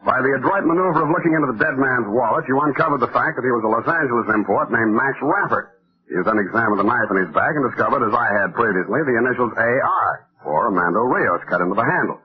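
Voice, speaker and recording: A man's voice: medium pitch, quick pace, crowd noise, close to an everyday voice. An actor playing a sardonic, world-weary male private detective narrating a hard-boiled 1940s radio drama. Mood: tense, dry. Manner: wry deadpan, hard-boiled. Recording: tinny phone-like vintage radio recording.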